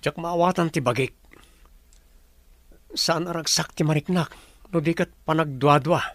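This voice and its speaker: Male lead, slightly high-pitched Ilocano boss, uneasy and doubting, radio drama. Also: measured pace, fairly bright tone, no echo. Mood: troubled, defensive. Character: troubled, doubting businessman and boss, uneasy expectant father.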